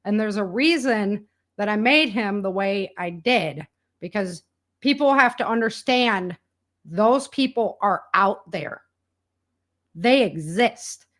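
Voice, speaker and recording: A woman's voice: medium pitch, fairly bright tone. Earnest, enthusiastic woman in casual conversation. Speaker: interview guest, author and audio drama producer.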